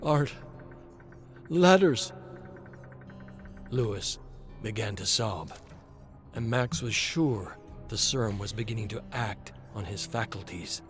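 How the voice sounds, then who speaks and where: A man narrating a scripted story in a measured, dramatic way. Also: fairly low pitch, steady tone, a bit bright, faint music behind.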